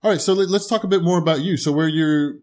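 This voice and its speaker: A man's voice: a little high, fast talker, darkish tone. A man hosting a casual podcast interview, often affirming with yeah and restarting his sentences. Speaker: podcast host.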